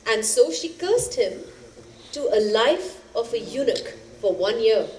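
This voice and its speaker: An actress playing a spirited storyteller who narrates epic tales in a dramatic, expressive theatrical style. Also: female voice, a little high, a little slow. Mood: engaged. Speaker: stage actor in a two-hander play. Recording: echoey stage, faint background noise.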